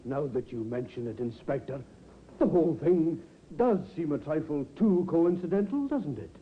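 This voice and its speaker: An actor playing an elderly, guarded family doctor with formal speech in a 1950s radio drama. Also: male voice, fairly high pitch, animated, moderate pace, natural voice. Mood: conceding. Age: older. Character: elderly male family doctor, defensive, giving guarded testimony. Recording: muffled, noisy phone-like vintage radio recording.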